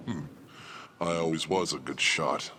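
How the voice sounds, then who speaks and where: An actor playing a smug, suave rival of doubtful loyalty in an audio drama, dry and sarcastic. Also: male voice, rumbling low, unhurried, heavy background noise.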